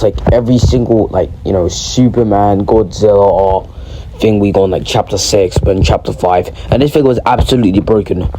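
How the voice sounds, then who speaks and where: A young man who is ill and sounds deeper than usual, talking casually and excitedly about a video game, often correcting himself. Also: noisy background. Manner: rambling, excitable, self-correcting. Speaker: gaming video creator. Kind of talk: casual gaming commentary.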